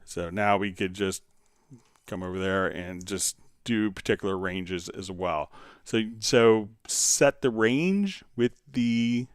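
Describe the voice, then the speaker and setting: A man hosting a casual live software tutorial, talking haltingly as he thinks aloud while demonstrating. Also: lowish voice, clean tone, dry recording, studio quality. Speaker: livestream host, software instructor.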